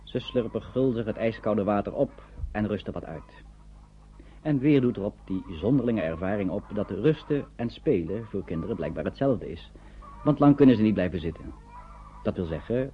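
A man's voice: fairly low pitch, close to an everyday voice. A man narrating a radio drama from a script, telling the story in literary prose. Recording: dull old radio recording, faint noise.

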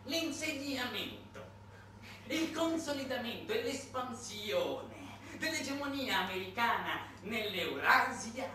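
A man voicing a pompous strategist who declaims imperial policy slowly and emphatically in a solemn tone. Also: high voice, pushed and bright, voice pushed up, heavily acted. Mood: solemn, declamatory. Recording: echoey distant stage recording, noisy.

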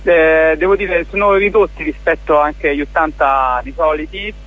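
Man, halting informal radio guest. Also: a little high, fairly bright tone, rough recording. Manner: informal, halting, searching for words. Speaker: festival organiser, radio guest. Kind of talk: casual spoken interview.

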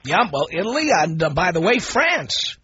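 A man's voice: high-pitched, big pitch swings, moderate pace, bright, forceful tone, highs cut off, a little hiss or hum. A man hosting a call-in radio talk show, blunt and opinionated with callers.

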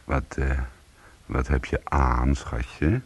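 An actor playing a world-weary, streetwise crook in a radio crime drama, with a husky, gravelly voice and slangy, hard-boiled delivery. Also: male voice, extremely low pitch, steady tone, extremely slow, heavily acted. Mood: teasing. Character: world-weary, streetwise male crook telling his own story. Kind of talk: radio crime drama, first-person narration and dialogue.

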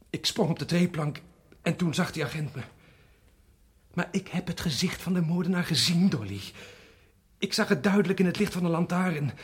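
Doomed prisoner, very breathy and husky, brooding, haunted, radio play. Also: male voice, fairly high pitch. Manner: subdued, brooding, weary. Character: anguished, haunted condemned male prisoner protesting innocence. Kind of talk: radio drama acting.

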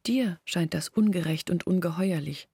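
An actress playing an earnest, bitter village woman in a German radio drama, reasoning insistently and intimately in a husky, creaky voice. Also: on the low side, normal speed, warm tone, no room sound. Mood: grave, insistent.

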